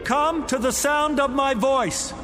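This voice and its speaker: A desperate father in a radio play, his voice very high and urgent. He speaks in slow, determined calls that ring through a long reverb. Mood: anxious, determined. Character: desperate father searching for his lost young daughter. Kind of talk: radio drama acting. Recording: long cavernous reverb, loud music underneath.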